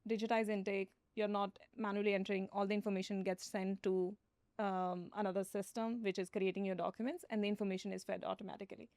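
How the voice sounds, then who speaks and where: A woman's voice: medium pitch, little pitch movement, fast talker, a little mellow, dry recording, studio quality. A woman founder as a podcast guest, explaining steadily with frequent fillers. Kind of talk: conversational interview.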